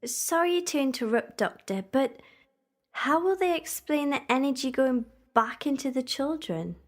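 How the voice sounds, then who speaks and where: A woman's voice: sits high, expressive, unhurried. A young woman playing a plucky, outspoken time-traveller's companion in an audio drama, candid and questioning. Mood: curious, supportive.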